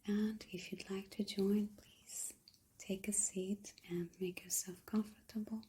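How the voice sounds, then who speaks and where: A woman speaks softly in an ASMR style, often slipping into a breathy whisper. Her tone is mellow and dark, at a medium pitch. She goes slowly and deliberately, gentle and hushed throughout, as if reading aloud in a library. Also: flat delivery. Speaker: ASMR host playing a librarian reading poems. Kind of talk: soft-spoken ASMR with poem reading.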